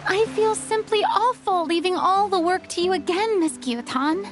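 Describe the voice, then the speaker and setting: A woman's voice: very high voice, normal speed, music in the background. A woman playing a polite, easily flustered young former stage star in a video game, with a theatrical delivery. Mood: polite, modest.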